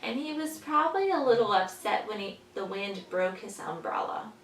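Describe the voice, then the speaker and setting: A woman teaching young children, patiently explaining in simple words and pausing after questions, as in a story lesson. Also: medium pitch, lively intonation, a bit bright. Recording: echoey room, distant mic, faint noise.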